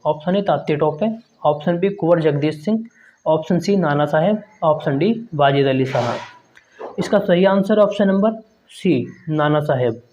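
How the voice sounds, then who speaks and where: Guy doing exam quiz prep, voice a bit high, kinda dull lo-fi audio. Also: moderate pace, darkish tone, slight background noise. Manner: reads out options, keeps addressing viewers as friends. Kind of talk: quiz-style exam coaching lesson. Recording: dull lo-fi sound.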